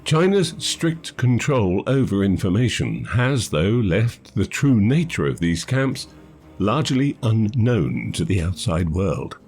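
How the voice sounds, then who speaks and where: A man reading scripted documentary narration in a measured, formal manner. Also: on the low side, darkish tone. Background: background music.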